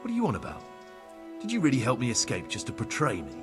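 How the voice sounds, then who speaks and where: A man's voice: mid-range voice, wildly swooping pitch, quick pace, over music. A man playing a defiant, embittered medieval outlaw on the run in a video game cutscene. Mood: betrayed, defiant.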